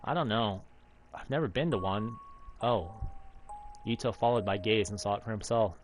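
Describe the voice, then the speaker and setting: A man's voice: on the low side, moderate pace. A man in his thirties, a streamer reading a visual novel's story text aloud as narration. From United States, Alaska. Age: 30s. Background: faint game music.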